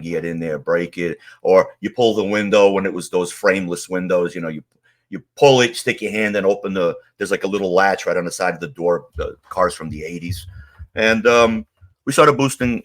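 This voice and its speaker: A middle-aged man telling stories in a rambling, streetwise way, with many "you know"s, as an interview guest. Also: low-pitched. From Queens, New York. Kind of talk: casual storytelling.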